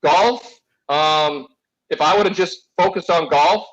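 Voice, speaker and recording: A man's voice: fairly high pitch, a little slow, lo-fi sound. A man in his forties hosting a casual sports talk show, chatting and telling personal stories in a rambling, boisterous way with free swearing. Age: 40s.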